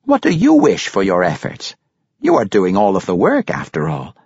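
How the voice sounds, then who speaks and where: A man's voice: sits low, wildly swooping pitch, moderate pace, darkish tone, breathy edge, no room sound, dull audio, full character voice. A man voicing a jovial, shrewd older grandfather with courtly, theatrical, flowery speech in an audiobook story. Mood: coaxing, admiring.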